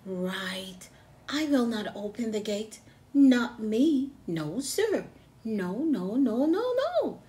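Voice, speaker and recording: A woman voicing a cheeky, stubborn young schoolgirl in a storybook read-aloud, loud and sing-song, stretching her words for emphasis. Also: on the high side, sing-song, slow. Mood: insistent.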